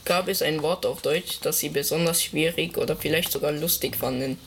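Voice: mid-range voice, a little fast, creaky, slight background noise. A young teenage student asking prepared, polite interview questions.

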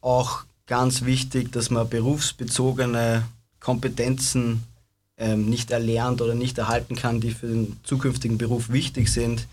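Man, panelist, monotone, thoughtful with fillers. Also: medium pitch, a little slow, slight background noise. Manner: even, reflective, many fillers. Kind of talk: discussion contribution.

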